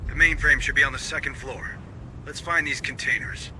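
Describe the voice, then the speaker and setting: An actor playing a gruff, stubborn commander of space marines in a video game, giving clipped, commanding briefings with dry sarcasm. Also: male voice, medium pitch, fairly even intonation, normal speed, background noise, close to an everyday voice. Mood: commanding, focused. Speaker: military commander. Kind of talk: video game cutscene acting and mission briefing.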